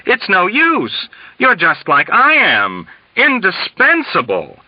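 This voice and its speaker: A pompous, frantic colonel from an audiobook, acted out by a male reader, swings widely in pitch with emphatic, sententious exclamations. The sound is dry and a little dull. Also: huge pitch swings, slow, dry recording, full character voice. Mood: frantic, despairing. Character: blustering, frantic, scheming male military colonel, eager and pompous. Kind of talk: dramatized audiobook reading.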